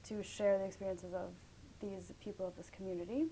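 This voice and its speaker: A young woman leads an oral history interview in a mellow voice, asking her questions tentatively with plenty of fillers. A faint low rumble runs beneath the recording. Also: level tone, brisk, poor audio.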